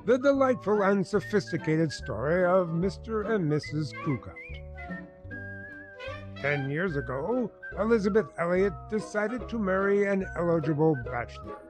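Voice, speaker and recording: A man's voice: sits high, lively intonation, moderate pace, over music, low-quality recording. A man narrating a scripted radio sitcom as announcer, in a droll, old-time style.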